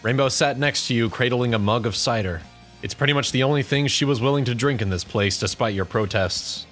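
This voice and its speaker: A man's voice: mid-range voice, a little fast, fairly bright tone, soft background music. A man narrating a scripted second-person story as the narrator of an audio drama.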